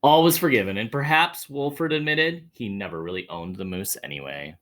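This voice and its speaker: Man reading aloud, wildly expressive pitch. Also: normal speed. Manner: expressive, dramatic storytelling, addressing children directly. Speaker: children's read-aloud host, teacher or school staff. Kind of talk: animated picture-book read-aloud with chatty asides.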